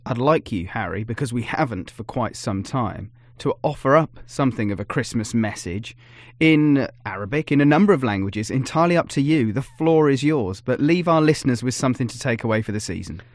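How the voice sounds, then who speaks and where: Casting: male podcast host, courteous and guiding, mid pitch, dry close sound. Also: animated, a little fast, no echo. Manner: polite, gently guiding. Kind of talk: podcast interview.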